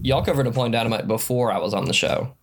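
A man's voice: mid-range voice, expressive, rapid-fire, creaky. A young man co-hosting a podcast in casual chat, wry and laughing as he talks, with a slightly congested voice. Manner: wry, laughs while talking. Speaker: podcast co-host.

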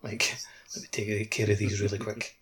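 A man's voice: fairly low pitch, level tone, moderate pace, a bit bright, a little hiss or hum. A man chatting casually as a co-host on a video game podcast.

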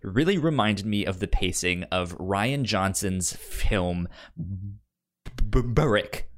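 A man's voice: on the low side, animated, slow. A man co-hosting a podcast in casual film discussion, stammering and repeating syllables and words as he talks. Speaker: podcast co-host.

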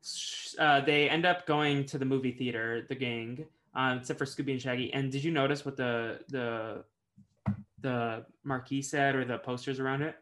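A man's voice: medium pitch, steady tone, normal speed, fairly bright tone. A man co-hosting a podcast in casual chat, rambling with many fillers and light laughs. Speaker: podcast co-host.

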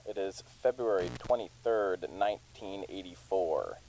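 A man's voice: sits low, unhurried, dark tone. A man playing a matter-of-fact research doctor logging a test in an audio drama, on a slightly noisy recording. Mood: businesslike. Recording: faint noise, clicking tape recorder.

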